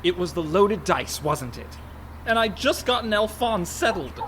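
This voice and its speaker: A man's voice: sits high, very animated, measured pace, fairly bright tone, a little hiss or hum, exaggerated voice. An actor playing an anxious, sarcastic younger brother, a reluctant con man, in a comic radio play. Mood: worried, exasperated. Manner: sarcastic, fretful.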